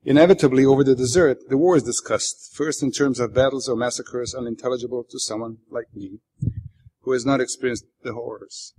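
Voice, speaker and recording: Male author, native Bosnian speaker with Bosnian accent, mid-pitched, reading literary prose aloud with dry, wry delivery. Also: fairly even intonation, fairly quick, dark tone, low-quality recording. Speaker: author reading his own work.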